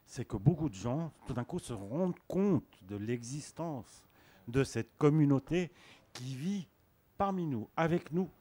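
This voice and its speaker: A man's voice: on the high side, expressive, unhurried, dark tone. Emphatic man in a discussion. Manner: impassioned, emphatic, hesitant at times. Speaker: participant in a discussion. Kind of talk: spontaneous discussion.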